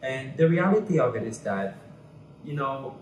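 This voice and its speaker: A man's voice: mid-range voice, moderate pace, slight background noise. A man in his twenties from Cuba, talking earnestly as an interview guest. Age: 20s. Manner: earnest and animated, gestures while talking. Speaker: interview guest, pageant candidate. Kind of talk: casual interview chat. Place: bright indoor room. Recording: roomy echo, mic at a distance.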